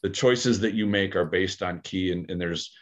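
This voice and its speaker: A man's voice: low-pitched, normal speed. A man talking through ideas on a podcast, thoughtful and hesitant, with many fillers and restarts. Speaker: aikido practitioner, podcast co-host. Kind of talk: casual podcast discussion.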